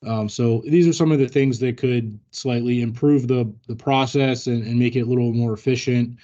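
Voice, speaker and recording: Man, training presenter, mellow relaxed voice, hesitant measured phrasing, dull lo-fi meeting recording. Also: medium pitch. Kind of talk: explanatory workplace training talk.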